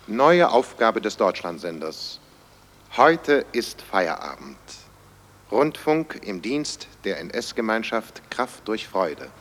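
A pompous old-time radio announcer man, low voice, slow, echoey. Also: big pitch swings, a bit bright, exaggerated voice. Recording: long reverberant hall echo.

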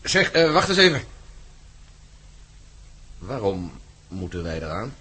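An actor in a radio play playing an uneasy, wry fellow captive with a hesitant, dryly resigned delivery. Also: male voice, fairly low pitch, sing-song, takes it slowly, bright, forceful tone, breathy edge, heavily acted. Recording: noisy radio play recording.

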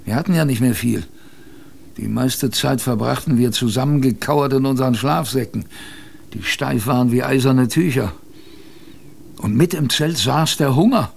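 Gravelly sailor storyteller. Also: male voice, medium pitch, normal speed. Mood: wry, colloquial. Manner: chatty, addresses the listener directly. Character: plain-spoken sailor recounting a polar expedition, wry. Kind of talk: radio drama first-person narration, colloquial. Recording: faint background ambience.

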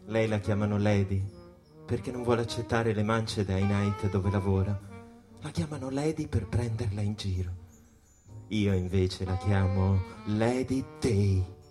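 A smitten, gin-soaked saxophonist reminisces wistfully in a stage monologue. He talks very slowly, lingering over phrases, with jazz clearly playing behind him. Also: medium pitch. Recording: music bed under the voice. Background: jazz music.

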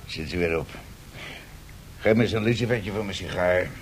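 A man playing a gruff, impatient gangster henchman in a radio drama, blunt and grumbling. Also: low voice, normal speed, slight background noise, natural voice. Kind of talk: 1970s Dutch radio drama acting.

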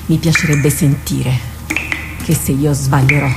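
A woman's voice: sits low, little pitch movement, measured pace, music in the background. An actress playing a devoted woman warrior captain in a radio drama, stubbornly loyal and solemn, speaking with earnest theatrical gravity. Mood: solemn, resolute. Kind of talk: Italian radio drama acting. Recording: clearly noisy radio drama recording.

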